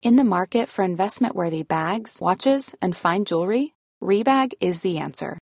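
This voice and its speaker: Woman, ad voice-over, gravelly low voice, dry close sound. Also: lively intonation, normal speed, a little mellow, dry recording. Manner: polished, promotional. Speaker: ad narrator. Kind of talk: scripted ad read.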